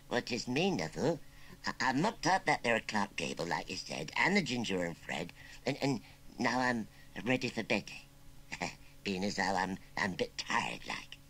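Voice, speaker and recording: An old scarecrow uncle from a children's storybook, with a West Country burr. The voice is rough and bright, rising and falling freely. He rambles in a folksy, put-upon, plaintive way. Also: fairly high pitch, pitched above the performer's own voice, full character voice. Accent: English West Country.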